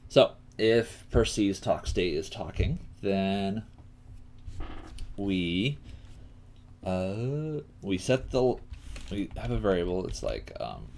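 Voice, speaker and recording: A man hosting a live coding lesson, thinking aloud haltingly and often murmuring to himself as he works. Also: low voice, very animated, vocal fry, a little mellow. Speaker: coding teacher and stream host. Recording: noisy room with keyboard clicks. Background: typing and clicking.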